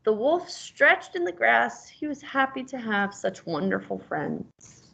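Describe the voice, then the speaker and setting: A woman's voice: fairly high pitch, very animated, a little slow, vocal fry, bright, forceful tone, husky. Dull-sounding woman reading a storybook aloud. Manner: encouraging, prompting with questions. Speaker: teacher reading aloud as a parent. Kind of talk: storybook read-aloud with guiding questions.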